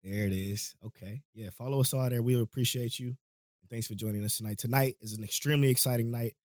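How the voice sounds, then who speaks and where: A man's voice: mid-range voice, steady tone, quick pace, a little mellow, no echo, studio quality. A man hosting a casual podcast, talking in a laid-back, rambling way with frequent laughs, his voice a little worn from a cold. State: voice going, catching a cold. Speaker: podcast host.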